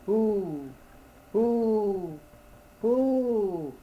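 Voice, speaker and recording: A man imitating an owl hooting from high up in a tree, as part of a storybook reading. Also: animated, very slow, phone-quality sound, slight background noise, heavily acted.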